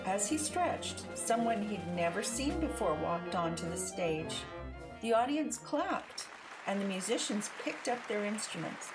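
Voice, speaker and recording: A woman reads a children's storybook aloud with theatrical expression. Her pitch moves up and down freely, and she takes her time over the words, with music clearly playing behind her. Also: big pitch swings, unhurried. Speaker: storybook narrator. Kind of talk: animated children's read-aloud narration.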